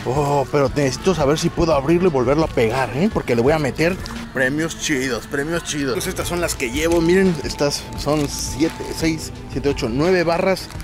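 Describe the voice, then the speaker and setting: A man in his thirties with a Mexican accent, vlogging in a loud, joking, animated way, filmed close up by his own camera. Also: fairly high pitch, normal speed, music in the background. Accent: Mexican Spanish. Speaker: YouTuber. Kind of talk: excited vlog presenting. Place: small room with foam panels, and a store.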